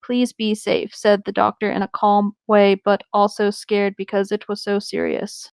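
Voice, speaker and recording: A woman's voice: mid-range voice, monotone, moderate pace, a little mellow, clean tone, dry recording. Woman reading aloud, dry close sound. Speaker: co-host reading fan fiction aloud. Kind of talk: casual comedic group read-along.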